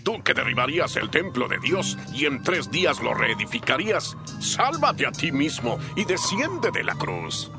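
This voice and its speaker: A man's voice: on the high side, very animated, moderate pace, music in the background. A man playing a taunting passerby who mocks a condemned man in a dramatized scripture reading. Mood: jeering. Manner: jeering, taunting. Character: mocking male passerby jeering at a condemned man. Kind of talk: dramatized scripture audiobook acting.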